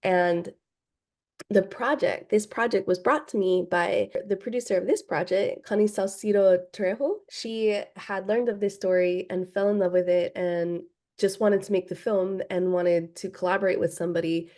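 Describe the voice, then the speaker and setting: Woman from Hawaii, filmmaker guest; warm, unhurried interview talk, thinking aloud. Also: medium pitch, fairly even intonation. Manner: thoughtful, many ums and restarts. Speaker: film director, guest. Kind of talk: casual interview answers.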